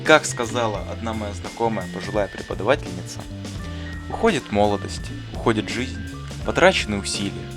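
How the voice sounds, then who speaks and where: A man voicing a thoughtful, kindly schoolteacher in an animated film, explaining things in an earnest, didactic, bookish way. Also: fairly low pitch, a little fast, lightly acted. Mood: warm, reflective. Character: thoughtful, kindly male schoolteacher who talks like a gardener. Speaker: teacher answering interview questions. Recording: voice over clear background music. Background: music.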